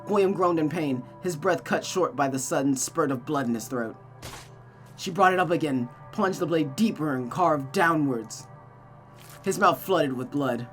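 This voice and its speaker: A woman's voice: sits low, normal speed. A woman reading a visual novel aloud as host, narrating the story with casual asides. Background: music.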